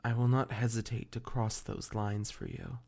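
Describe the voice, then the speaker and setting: Male actor as an ancient fey familiar, lowish gravelly voice, formal archaic wording, tender and devoted, intimate fantasy roleplay audio. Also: level tone, a little mellow. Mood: tender, loving. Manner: formal, archaic, measured diction.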